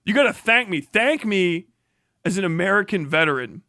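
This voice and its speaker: High-pitched man in a combative, profane rant. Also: expressive, moderate pace, creaky, bright, forceful tone, no echo, clean studio sound. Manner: mocking, combative, sarcastic; heavy swearing. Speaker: live streamer reacting to a video. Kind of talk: profane political rant commentary.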